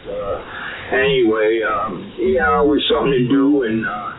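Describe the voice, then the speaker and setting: A man's voice: fairly low pitch, normal speed. A man making laid-back, understated radio chat in short clipped phrases over a two-way transmission. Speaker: amateur radio operator. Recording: tinny radio, heavy noisy chatter.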